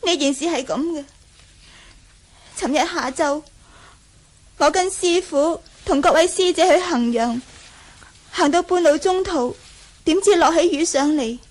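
Very high-voiced, meek young nun. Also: female voice, unhurried, long pauses. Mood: earnest, reverent. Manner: earnest, deferential. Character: meek, devout young Buddhist nun, a disciple. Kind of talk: Cantonese radio drama acting.

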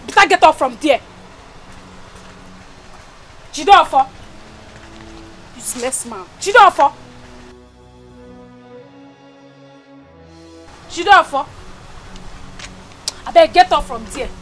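An actress with a Nigerian accent playing a distraught village wife who calls out and wails. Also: female voice, sits high, exaggerated voice. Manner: wailing, shouting a name. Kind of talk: film acting. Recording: loud film music behind.

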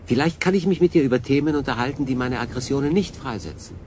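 A man's voice: mid-range voice, fast, darkish tone, natural voice. An actor playing a stranded, thoughtful and conflicted man in a radio drama, speaking earnestly and reflectively. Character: stranded human castaway, male, thoughtful and conflicted. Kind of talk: German radio drama acting. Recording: clear background noise.

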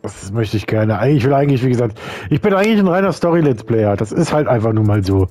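A man's voice: mid-range voice, very animated, fast, warm tone, soft background music. A man in his thirties from Germany giving rambling, offhand commentary while playing a story game. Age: 30s. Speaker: let's player. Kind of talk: casual gameplay commentary.

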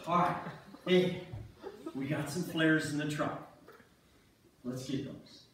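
A man's voice: a little high, steady tone, slow. Echoey, distant resigned ice fisherman acted by a man. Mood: worried. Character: male ice fisherman, resigned and plain-spoken. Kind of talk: amateur reader's theater. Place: church sanctuary stage with audience. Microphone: far camera in the pews. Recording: distant, very echoey noisy church hall recording.

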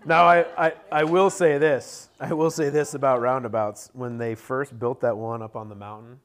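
A man in his thirties teaching a motorcycle cornering class in a conference room, energetic and joking, picked up by a camera across the room. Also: mid-range voice, normal speed. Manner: energetic, joking, talks with hands at a whiteboard. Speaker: riding coach. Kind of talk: classroom motorcycle clinic lecture. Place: conference room with a group around tables. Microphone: camera at the back of the room, no visible mic.

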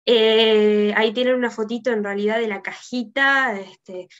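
A woman's voice: a little high, steady tone, measured pace. A woman with a Uruguayan Spanish accent giving an informal slide presentation as a teacher, recorded indoors on a video call. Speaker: tarot teacher giving a conference talk. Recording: dull, lo-fi video call audio.

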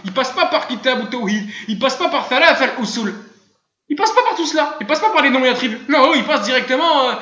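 A man with an Arabic-tinged French accent giving a live online religious lesson, animated, firing off rhetorical questions and chuckling as he goes. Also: very high voice, quick pace. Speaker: religious teacher. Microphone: voice chat room. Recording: roomy, dull lo-fi voice-chat audio.

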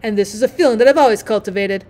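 A woman's voice: medium pitch, rapid-fire, dark tone, natural voice. A woman voicing a vain, self-obsessed male firework rocket in a storybook reading, with haughty, indignant, self-important delivery. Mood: indignant, scornful.

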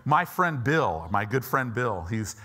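Male pastor, 50s, American, medium pitch. Conversational, emphatic preaching on a church stage with a lapel mic. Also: sing-song, measured pace, bright, forceful tone. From United States, Chicago and Southern California. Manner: emphatic, repeats phrases for stress, rhetorical check-ins. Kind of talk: conversational sermon. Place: church auditorium stage, indoors.

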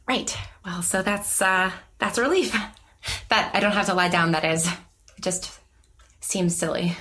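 A woman's voice: fairly low pitch, steady tone, measured pace, sharp tone. A woman playing a nervous young therapy patient in an audio drama, rambling and hesitant in a naturalistic style. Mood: flustered. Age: young adult. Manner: hesitant, stammering, self-conscious rambling.

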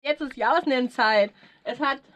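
A woman's voice: sits high, normal speed, loud music behind the voice, low-quality recording. A woman in her thirties with an Austrian German accent, chatting casually and cheerfully to the camera as a ski vlogger, outdoors on a mountain hut terrace. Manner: chatty, upbeat, colloquial. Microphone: action camera held close.

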